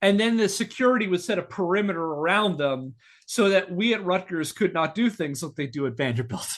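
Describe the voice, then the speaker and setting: Man, American accent, high voice, podcast host, narrates from a script, drops in casual asides. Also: expressive, a little fast. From northeastern United States.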